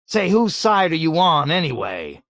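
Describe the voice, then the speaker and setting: A man voicing a petulant, self-righteous male colonel who shouts in high, whiny bursts. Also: big pitch swings, a little slow, no room sound, full character voice. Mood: blustering wrath. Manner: shrill, whiny, shouting outbursts.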